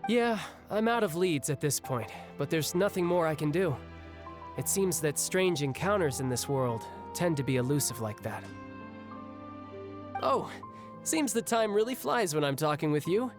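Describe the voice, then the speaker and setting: An actor playing a young, restless, battle-hungry warrior in a video game, speaking in a breezy, reflective way. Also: male voice, a little high, animated, moderate pace. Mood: wistful, casual. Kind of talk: video game cutscene acting. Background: game music.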